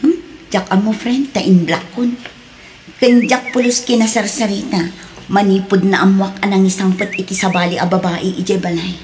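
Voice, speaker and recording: A woman's voice: medium pitch, steady tone, moderate pace, darkish tone, slight background noise, natural voice. A woman with a Filipino accent playing a hurt but resolute young mother in an Ilocano radio drama. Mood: confiding, firm. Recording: music behind the voice.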